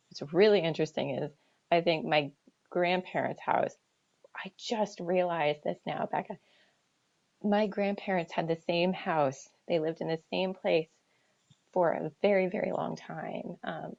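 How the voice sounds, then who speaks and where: An American woman chatting casually as an author on a livestream Q&A, thinking aloud in a halting, reflective way and often trailing off. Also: deep voice, gravelly, darkish tone, slightly rough. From New Hampshire seacoast, United States. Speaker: author answering audience questions. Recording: dull lo-fi livestream audio.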